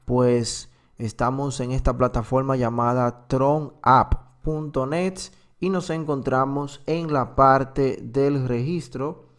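A man who is a native Spanish speaker, slowly explaining an app on screen with many filler words. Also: medium pitch, steady tone. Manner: slow, filler-heavy explaining. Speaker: crypto platform reviewer. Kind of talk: screen-recorded walkthrough.

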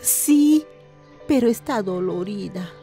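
An actress playing a caring, hushed elderly village grandmother in a radio drama. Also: mid-range voice, animated, slow pace, faint music behind. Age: older.

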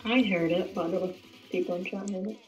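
A woman's voice: mid-range voice, fairly even intonation, fast talker, over loud music. A woman teasing her friends casually over a game voice chat. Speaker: streamer's friend and co-player. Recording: dull, distant voice-chat audio.

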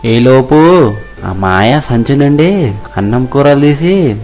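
A man's voice: medium pitch, animated, takes it slowly, a little mellow, soft background music. A man playing a devoted, generous young fisherman with an earnest, caring manner in an acted moral story.

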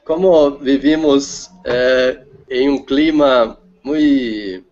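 Brazilian guy speaking slow careful spanish, lots of ums, muffled video call. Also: mid-range voice, darkish tone, faint music behind. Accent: Brazilian Portuguese. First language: Portuguese. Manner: hesitant, searching for words. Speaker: language student. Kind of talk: casual chat. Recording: dull lo-fi video call audio.

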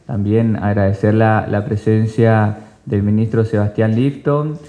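A man opens a press conference in a formal tone, repeating words as he restarts. His voice sits low and mellow, on a desk mic in a conference room. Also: fairly even intonation, measured pace, highs cut off. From Argentina. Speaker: chamber of commerce representative hosting. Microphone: desk mic on stand.

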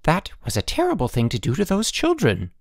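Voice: mid-range voice, huge pitch swings, fairly quick, pitched above the performer's own voice. A narrator voicing an indignant, bossy middle-aged wife in a storybook, exclaiming and scolding.

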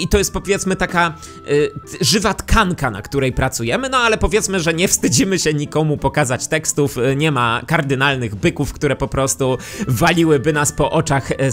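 A man's voice: on the high side, fairly bright tone. A man in his thirties giving explanatory commentary as a host, speaking close to a studio microphone. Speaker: YouTube host and voice actor. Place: small indoor home studio. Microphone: close studio microphone with pop filter. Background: background music.

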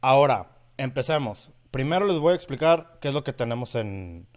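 A man's voice: mid-range voice, lively intonation, normal speed. A Mexican man in his thirties with a northern Mexican accent, hosting a live mixing tutorial from his home studio and greeting viewers by name. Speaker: audio engineer, livestream host.

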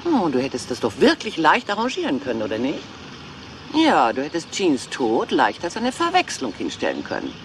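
A woman's voice: sits low, normal speed, a little hiss or hum. A woman playing an aging Hollywood film diva, cool, calculating and taunting, in a dubbed crime series. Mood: accusing. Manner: cool, taunting, lively intonation. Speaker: film star.

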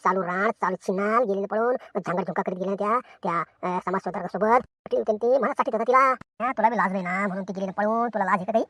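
A woman's voice: medium pitch, fast talker, hardly any pauses, no echo, lightly acted. Woman playing a blunt lecturing villager. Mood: scolding. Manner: loud reproachful lecturing.

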